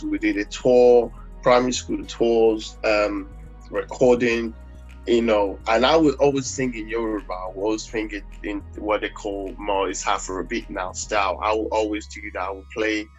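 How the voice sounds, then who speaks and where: A Nigerian man, a gospel singer, talking in a relaxed interview, rambling with many fillers and restarts. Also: fairly low pitch, slightly rough. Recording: dull lo-fi audio with faint music.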